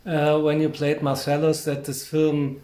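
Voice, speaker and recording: A man's voice: a little high, monotone, a little slow, mellow. A man with a German accent interviews film people, effusive and enthusiastic, with slightly hesitant non-native English phrasing. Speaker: magazine interviewer. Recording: echoey room with clear background noise.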